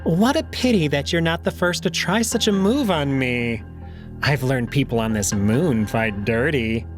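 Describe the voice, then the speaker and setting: A man's voice: mid-range voice, lively intonation, measured pace, soft background music, lightly acted. A man voicing a big, smug male jackal in a visual novel, amused, taunting and fully in control.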